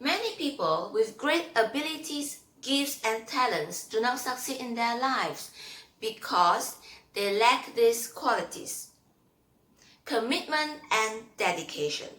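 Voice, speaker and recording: Woman reading to kids, really slow n careful, highish voice, short deliberate phrases. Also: expressive, a bit bright. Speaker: storyteller. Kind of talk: children's read-aloud storytelling and teaching.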